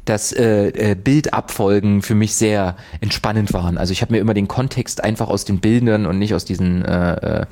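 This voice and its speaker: A man's voice: fairly low pitch, a little fast, hardly any pauses, dark tone. A man co-hosting a casual radio chat, rambling along, hunting for words and correcting himself. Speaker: radio co-host.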